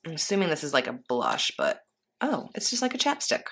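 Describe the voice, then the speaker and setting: A woman's voice: low voice, huge pitch swings, fairly quick, fairly bright tone, slightly rough. A woman vlogger chatting casually while unboxing a beauty box, a little congested, rambling with fillers. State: congested from allergies. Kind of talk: casual unboxing chat to camera.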